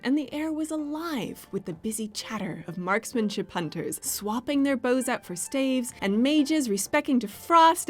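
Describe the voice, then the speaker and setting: A woman co-hosting a scripted gaming news show with sarcastic, deadpan humor. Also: high voice. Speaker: co-host.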